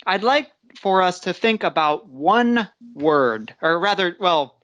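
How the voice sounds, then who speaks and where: A man teaching an online English lesson, explaining step by step in an unhurried way with frequent "uh"s over a video call. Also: high-pitched, expressive, fairly bright tone, dull audio. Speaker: guest teacher.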